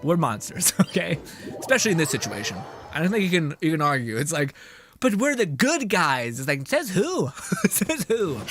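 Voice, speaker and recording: A man streaming a game, reacting in a loud, laughing, profane way. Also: fairly high pitch, sing-song, moderate pace, faint music behind. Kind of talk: casual gameplay commentary.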